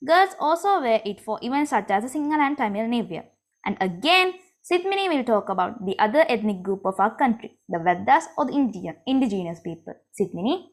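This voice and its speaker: Lively Sri woman presenting. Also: fairly high pitch. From Sri Lanka. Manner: reads from a script, clear and measured. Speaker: student presenter. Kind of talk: prepared presentation.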